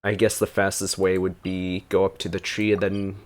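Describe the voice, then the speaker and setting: A man's voice: low-pitched, little pitch movement, normal speed, a little mellow, slight background noise. Casual man reacting to a game. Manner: musing aloud, informal. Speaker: game streamer. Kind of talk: casual gameplay reaction commentary.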